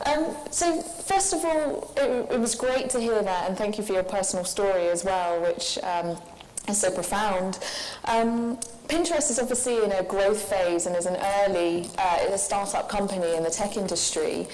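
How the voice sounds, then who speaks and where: A soft-spoken woman moderating a lecture, politely putting questions to the audience and the speaker. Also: medium pitch, moderate pace, poor audio. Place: lecture hall with live audience.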